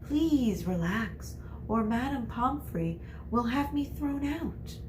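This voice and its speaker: Lady doing a kindly old wizard guy, calm and slow, soothing, storybook reading, bit of noise behind. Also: a little high, voice pushed up. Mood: calm, reassuring. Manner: gentle, unhurried, soothing. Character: calm, kindly elderly male wizard headmaster. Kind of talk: children's novel read aloud.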